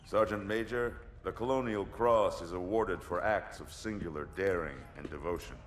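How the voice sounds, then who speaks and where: An actor playing a dignified, courteous senior military officer giving a formal ceremonial address in a game. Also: male voice, low voice, normal speed, a little hiss or hum, natural voice. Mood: formal, composed. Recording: echoey hall, music behind.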